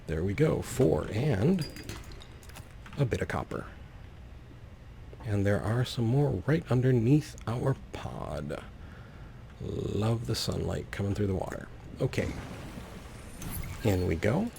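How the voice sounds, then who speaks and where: A man's voice: on the low side, very animated, takes it slowly, creaky, long pauses, warm tone, a little hiss or hum. A man giving casual, easygoing gameplay commentary, talking through his actions step by step. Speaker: let's play host.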